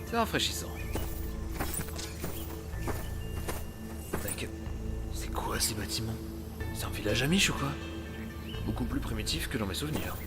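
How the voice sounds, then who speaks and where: Male actor, self-assured dimensional traveller, medium pitch, pompous musing, audio drama, loud music bed. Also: expressive, a little fast, lightly acted. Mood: wry. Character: self-assured, curious adventurer-scientist, dimensional traveller muttering to himself. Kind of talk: French audio drama acting.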